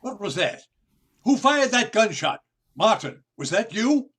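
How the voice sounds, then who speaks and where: A man playing a worried, protective gentleman in an old-time radio play. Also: high voice, big pitch swings, unhurried, husky, full character voice. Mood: alarmed. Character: worried gentleman desperate to protect a young woman.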